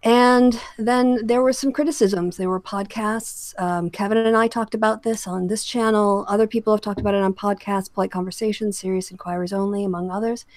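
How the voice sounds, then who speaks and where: A woman hosting an online discussion, speaking casually and haltingly as she comments, often correcting herself. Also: mid-range voice, steady tone, a little mellow, no echo. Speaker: host of an online discussion channel.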